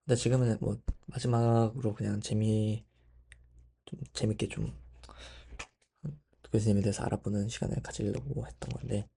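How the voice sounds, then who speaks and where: A man's voice: medium pitch, creaky, warm tone, husky. A young man talking to himself in a rambling, thinking-aloud way while he studies, often breaking off and restarting. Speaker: medical student. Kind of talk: casual study vlog monologue.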